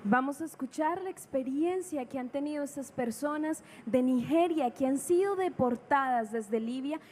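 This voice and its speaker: A woman interpreting a church broadcast live in a clear, formal announcing manner. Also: sits high, fairly quick, a little mellow, a little hiss or hum. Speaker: Spanish interpreter.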